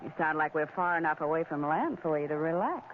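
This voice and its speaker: Swooping, jittery femme fatale. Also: female voice, low-pitched, animated, fast. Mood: coy, seductive. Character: jittery, seductive woman in trouble, pleading and coaxing. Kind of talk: 1940s radio drama acting. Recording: tinny, noisy 1940s radio recording.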